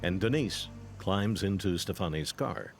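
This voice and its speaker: A man's voice: low voice, lively intonation, a little slow, vocal fry, over music. A man with an American accent reading a scripted true-crime documentary narration.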